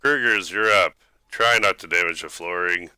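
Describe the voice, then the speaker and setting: A man voicing a world-weary, formal and deadpan school official in a parody cartoon, with music behind him. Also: deep voice, moderate pace, smooth, no echo. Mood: dry announcing.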